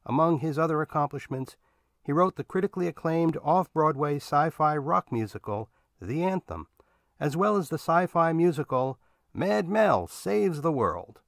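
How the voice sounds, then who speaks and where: Male podcast host: scripted outro read, measured, slightly high voice, dry close sound. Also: lively intonation, gravelly, dry recording.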